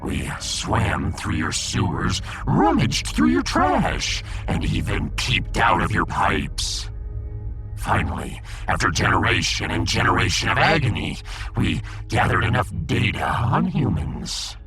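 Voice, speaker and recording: Here is a pompous alien fish emperor from a sci-fi audio drama. The voice is low and drawn out, swooping hugely as it wallows in mournful, long-winded grandeur, with music clearly playing behind it. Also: male voice, huge pitch swings, unhurried, full character voice. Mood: aggrieved, melodramatic. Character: pompous, melodramatic, gloomy little male alien fish emperor. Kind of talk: sci-fi audiodrama character acting.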